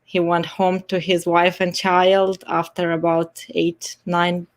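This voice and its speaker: A woman's voice: lowish voice, level tone, takes it slowly. Chatty Moldovan-accented woman. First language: Romanian. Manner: chatty, earnest, laughs often. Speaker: game show contestant, immigration attorney. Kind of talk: casual self-introduction.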